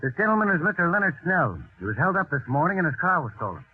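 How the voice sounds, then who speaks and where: An actor playing a dutiful, inquiring desk policeman with a husky voice in a 1940s radio drama. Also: on the high side, very animated, fast. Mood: businesslike. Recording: narrow-band old radio recording.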